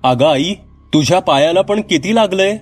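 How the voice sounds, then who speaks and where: A man's voice: high-pitched, expressive, normal speed, husky, voice pushed up. A man voicing a concerned young boy with a worried, questioning manner in a told horror story. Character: concerned young boy asking after his mother.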